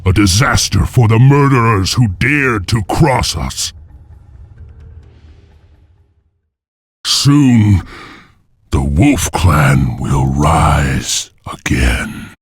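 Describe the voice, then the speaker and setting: Vengeful warrior heir, very low voice, slow, solemn, deliberate, fantasy game narration. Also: male voice, expressive, slightly rough, dry recording, clean studio sound, full character voice. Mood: defiant, resolute. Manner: grave, deliberate, storyteller-like. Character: solemn, vengeful male heir of an enslaved wolf clan. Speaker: story narrator and lead character. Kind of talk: dramatic scripted game narration and dialogue.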